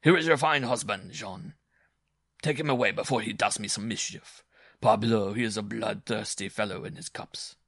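A jovial circus bareback rider voiced by a male narrator, vain and pleased with himself, speaks in a teasing storybook manner. The sound is close and dry, with a slightly raised pitch. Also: moderate pace, creaky, a bit bright, no room sound. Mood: amused, teasing.

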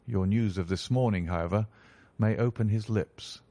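A man reading a story, voicing a stern, calm male detective in a low, measured, authoritative tone. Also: no room sound, lightly acted.

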